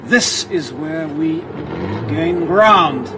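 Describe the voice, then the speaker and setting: An actor playing a menacing villain in a pursuing car, speaking slowly and deliberately over engine noise. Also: male voice, fairly high pitch, lively intonation. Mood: cold, calculating. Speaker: villain named Pierre.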